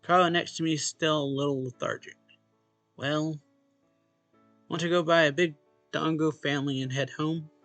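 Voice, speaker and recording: A man's voice: a little high, fairly even intonation, normal speed, long pauses, fairly bright tone, no room sound. A man reading a visual novel's narration and dialogue aloud expressively, as a let's play host. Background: game music.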